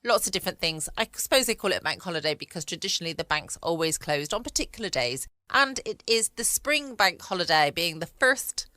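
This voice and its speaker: Bright, dry-voiced woman chatting. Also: fairly low pitch, lively intonation, brisk, hardly any pauses, dry recording. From United Kingdom. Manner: rambling, musing aloud. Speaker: podcast host. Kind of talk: casual bedtime chat. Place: quiet room at home. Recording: close, dry home recording.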